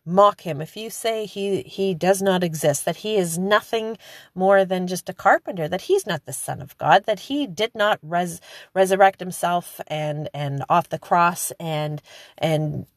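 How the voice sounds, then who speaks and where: A woman in her forties preaching informally, turning impassioned with high emphatic calls and chatty fillers. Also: measured pace, dry recording, clean studio sound. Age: 40s. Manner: conversational with frequent fillers.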